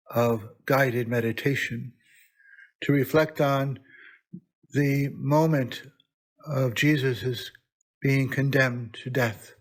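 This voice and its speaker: A man speaks as a priest leading a guided prayer meditation. His delivery is extremely slow and reverent, broken into short phrases with long pauses. The pitch is ordinary for a man.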